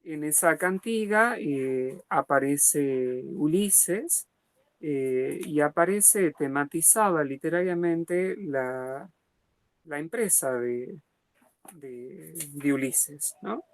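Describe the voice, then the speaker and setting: A man lecturing on literature at a slow, halting pace, his voice sitting a little high for a man. He stops often to search for words, and the sound is dry and close with no room around it. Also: lively intonation, no echo. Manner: hesitant, many pauses and fillers; slow and deliberate. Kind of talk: academic lecture with a reading.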